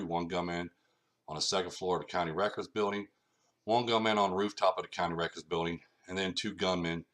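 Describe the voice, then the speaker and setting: Middle-aged man, low voice, loose walk-through presenter, keeps checking in with "okay.". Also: a bit bright. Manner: repetitive, keeps saying okay, nonstandard grammar. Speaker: self-published researcher presenting a theory. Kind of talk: informal lecture pointing at a map.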